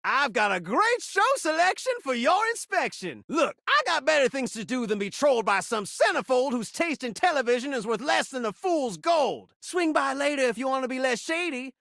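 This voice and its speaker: Casting: flirty, showy TV-set game character, lively swinging pitch, creaky edges, rhyming sass. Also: male voice, normal speed, hardly any pauses, no echo, clean studio sound, exaggerated voice. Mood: incredulous, sassy. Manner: showman-like, rhyming, teasing. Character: flamboyant talking television, flirty, sassy, theatrical show-off. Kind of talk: video game character acting in rhyming patter.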